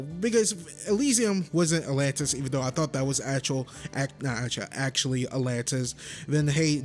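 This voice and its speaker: A man hosting a casual gameplay walkthrough, chatty and rambling, often correcting himself. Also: fairly high pitch. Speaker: gaming YouTuber.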